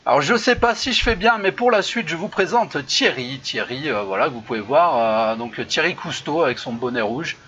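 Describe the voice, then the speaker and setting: A man's voice: fairly high pitch, expressive, moderate pace, few pauses, bright, forceful tone. A man hosting an online improv show over a video call, hesitant with many fillers and frequent laughs. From Lyon region. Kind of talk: casual live-stream hosting. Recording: dull lo-fi video call audio with faint noise.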